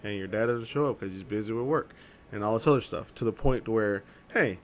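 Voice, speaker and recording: A man co-hosting a live-streamed chat show, talking casually and jokily, rambling with loud excited bursts. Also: lowish voice, fairly quick, a little hiss or hum. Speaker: co-host. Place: home streaming setup.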